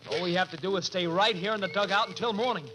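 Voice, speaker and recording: An actor as a bullying, desperate young crook, noisy recording. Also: male voice, high-pitched, very fast, lightly acted. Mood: agitated, insistent. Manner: barking, menacing, insistent. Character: desperate, bullying young male murderer and thief. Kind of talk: 1940s radio drama acting. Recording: noisy old 1940s radio recording.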